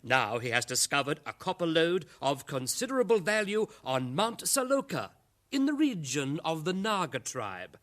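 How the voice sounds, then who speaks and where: A fawning, high-voiced minister. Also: male voice, a bit bright. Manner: obsequious, formal, deliberate. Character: fawning, smooth-talking government minister courting his queen. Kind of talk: satirical radio drama acting.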